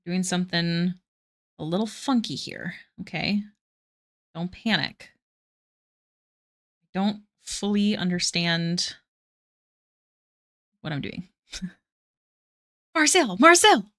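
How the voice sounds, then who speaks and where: A woman's voice: lowish voice, animated, slow pace, long pauses, a bit bright. A young woman chatting casually with viewers while drawing on a live stream, giggly and self-deprecating.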